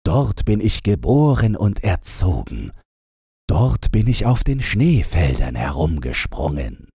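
Storybook part: a gentle reindeer, slow and hushed, dark husky tone, as a male reader voices him. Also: low voice, sing-song, dry recording, clean studio sound, pitched above the performer's own voice, full character voice. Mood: proud.

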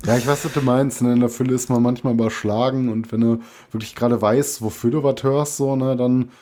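A man's voice: mid-range voice, steady tone, brisk, mellow. A tired man co-hosting a podcast, chatting in a laid-back way and tagging his phrases with "ne?". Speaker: podcast co-host. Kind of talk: casual chat.

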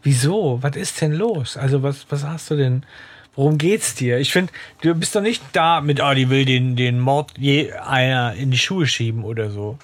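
A man with a slightly husky, breathy voice chats loosely on a podcast about a detective radio play. He thinks aloud in a playful, joking way and keeps voicing the puzzled questions a listener might ask. His pitch sits in an ordinary middle range. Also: normal speed. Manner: playful, joking musings with acted-out inner questions. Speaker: podcast co-host. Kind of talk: casual chat discussing a radio play.

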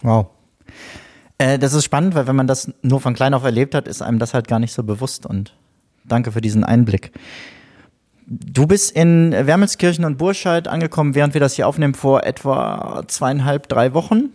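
A man's voice: medium pitch, normal speed. Chatty male parish podcast host. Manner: chatty, relaxed, friendly asides. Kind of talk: casual podcast interview.

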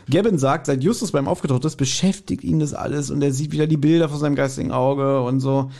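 A man's voice: a little high, fairly quick, gravelly, warm tone. A man co-hosting a podcast, recapping an audio drama in a casual, colloquial way. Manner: colloquial, acts out quotes animatedly. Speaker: podcast co-host.

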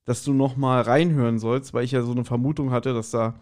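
A man's voice: mid-range voice, fairly even intonation, fairly quick, a little mellow. A man hosting a podcast in casual, jokey chat, with a rambling, self-deprecating manner. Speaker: podcast host.

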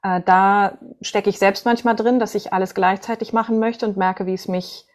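A woman's voice: mid-range voice, fairly even intonation, moderate pace. A woman from northern Germany, a voice coach and singer, answering conversationally as a podcast guest.

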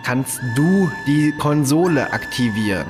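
A frazzled, sarcastic man on the run acted out in a science-fiction audio drama. He mutters and curses at a slow pace, with loud music behind him. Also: medium pitch, lively intonation, lightly acted. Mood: urgent, wry. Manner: exasperated, sarcastic, muttering to himself. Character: harried, cursing male fugitive who peppers in French. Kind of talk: sci-fi audio drama acting.

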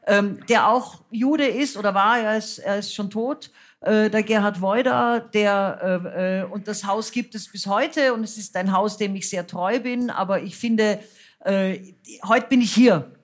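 A woman's voice: medium pitch, a little slow. A woman raised in the United States, a singer and author, talking frankly and wryly with many fillers as a guest on a stage before an audience. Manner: lively, frank, self-mocking, many fillers. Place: cultural centre stage with audience.

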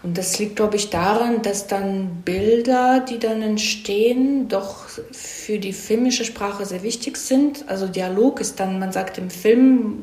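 A woman's voice: medium pitch, a little mellow. Woman chatting in an echoey room. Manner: conversational, frequent "ne?" tags seeking agreement. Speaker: screenwriter being interviewed. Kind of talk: casual explanatory chat.

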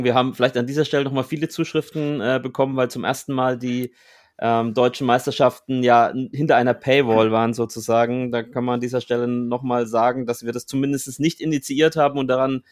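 A man hosting a podcast conversation, explaining things at length with frequent filler pauses. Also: mid-range voice. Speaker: podcast host.

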